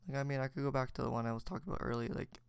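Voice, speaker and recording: A young man talks alone on his podcast in a loose, reflective ramble, circling back with fillers and restarts. His voice has a gravelly edge. The sound is dry and close, with no room around it. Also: mid-range voice, steady tone, rapid-fire, dry recording. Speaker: podcast host. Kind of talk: solo casual chat.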